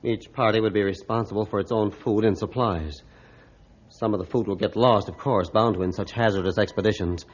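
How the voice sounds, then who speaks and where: Man acting grim ship captain, grave and deliberate, old radio play, muffled recording. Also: on the low side, fairly even intonation, lightly acted. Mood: stern, resolved. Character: grim, realistic male captain of an icebound ship. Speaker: ship's captain. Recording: dull, band-limited old radio recording.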